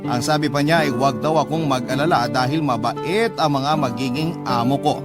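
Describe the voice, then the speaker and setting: A man narrating a letter as a radio love-story storyteller, in a measured, sentimental, dramatic way. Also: mid-range voice, over loud music.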